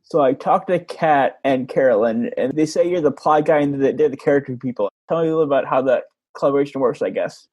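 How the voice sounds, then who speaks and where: Fast-talking male podcast interviewer, dry sound. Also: mid-range voice, a little mellow, breathy edge, no echo, muffled recording. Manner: informal, loosely phrased questions. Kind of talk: casual interview chat.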